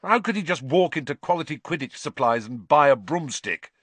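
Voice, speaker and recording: A man voicing an eager, sport-obsessed teenage team captain who gushes with excitement. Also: on the high side, moderate pace, breathy edge, no room sound, voice pushed up, heavily acted. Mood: incredulous. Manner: excited, breathless gushing. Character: teen boy quidditch captain, eager and obsessive.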